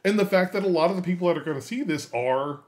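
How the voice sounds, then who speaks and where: A man hosting a podcast in casual discussion, haltingly repeating phrases as he builds his point. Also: sits high, expressive. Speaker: podcast host.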